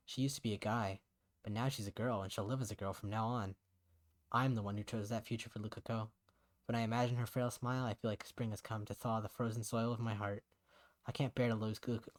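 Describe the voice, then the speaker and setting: A man's voice: lowish voice, darkish tone, natural voice. A man voicing a guilt-ridden, despairing young man who narrates his own visual novel story, somber and introspective.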